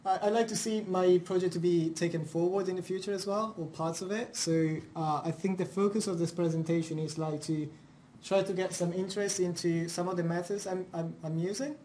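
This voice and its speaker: A man's voice: high-pitched, fairly even intonation, brisk, dark tone, a little hiss or hum. A young man from Italy with an Italian accent, presenting his research at a university seminar in an informal way, with many fillers and restarts. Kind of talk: academic seminar talk.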